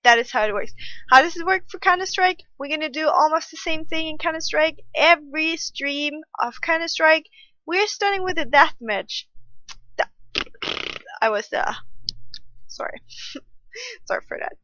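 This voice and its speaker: A woman's voice: sits high, lively intonation, normal speed, long pauses, bright, forceful tone, no room sound, lo-fi sound. A woman in her twenties from Austria, a German native speaker with an Austrian accent, chatting casually and self-mockingly to camera as a streamer. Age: 20s. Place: home room at night.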